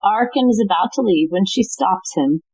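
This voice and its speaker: A woman's voice: medium pitch, expressive, moderate pace, a little mellow, husky, no echo, rough recording. A woman giving scripted narration of stage directions as the narrator of an audio play.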